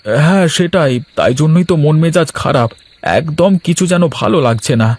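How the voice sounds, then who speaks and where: Man voicing a plaintive, high-pitched humble labourer. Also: lively intonation, normal speed. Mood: dejected, aggrieved. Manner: plaintive, complaining. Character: humble aggrieved male labourer, husband, protesting innocence. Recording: light background music.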